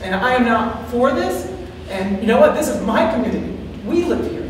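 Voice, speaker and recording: A woman with a loud voice giving earnest, worried public comment at a town board hearing, repeating her points. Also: a little high, animated, normal speed. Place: large town meeting hall, far from the mic. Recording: echoey hall, distant mic, faint hiss.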